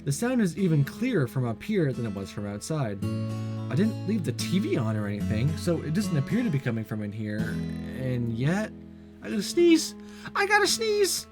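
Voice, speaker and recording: A man's voice: on the high side, wildly swooping pitch, normal speed, over loud music. A man hosting a visual novel let's play, reading the story aloud with casual, playful asides. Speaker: gaming video host.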